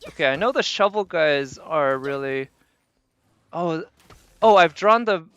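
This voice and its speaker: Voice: mid-range voice, animated, measured pace, fairly bright tone, smooth, dry recording, lo-fi sound. A game livestreamer giving casual, off-the-cuff commentary while playing, talking to themself and swearing mildly. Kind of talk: casual live gameplay commentary.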